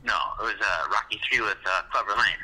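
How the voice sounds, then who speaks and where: A man's voice: fairly low pitch, fast, bright, forceful tone, husky, low-quality recording. A man hosting a casual podcast, joking around, teasing his co-host and laughing often. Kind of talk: casual chat.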